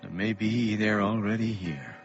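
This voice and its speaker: An actor playing an earnest middle-aged father in a radio play, speaking in a theatrical, deliberate and emphatic way. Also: lowish voice, lively intonation. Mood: grave, reassuring. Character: earnest ageing father defying evil with tenderness. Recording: dull lo-fi audio with music.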